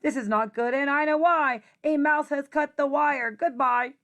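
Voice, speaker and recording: Insistent storybook phone caller, high-voiced woman reading. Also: fairly even intonation, moderate pace, fairly bright tone. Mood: insistent, frustrated.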